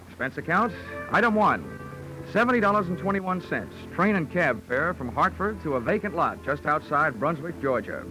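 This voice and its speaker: An actor playing a wry, hard-boiled insurance investigator with an American accent and a dry, sardonic manner, in 1940s radio style. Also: male voice, fairly high pitch, low-quality recording. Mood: wry, crisp. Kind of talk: 1940s radio acting, first-person narration. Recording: old 1940s radio transcription.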